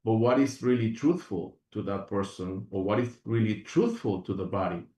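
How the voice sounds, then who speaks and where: Earnest Venezuelan man, deep and echoey. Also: lively intonation, normal speed, dark tone, far from the mic. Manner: earnest, rambling, many restarts and fillers. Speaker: guest, functional medicine practitioner. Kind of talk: reflective interview talk.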